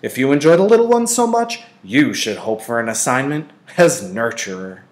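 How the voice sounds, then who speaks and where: A man's voice: mid-range voice, normal speed, voice pushed up, close to an everyday voice. A man voicing an affectionate, playful father talking to his child in an audiobook. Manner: affectionate, lively.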